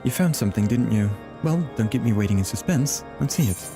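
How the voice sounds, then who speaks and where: A man's voice: medium pitch, lively intonation, brisk. Chatty man streaming a game. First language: Flemish. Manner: chatty and friendly, greets chat by name, laughs often. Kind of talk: casual live-stream chat. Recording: game music in the background.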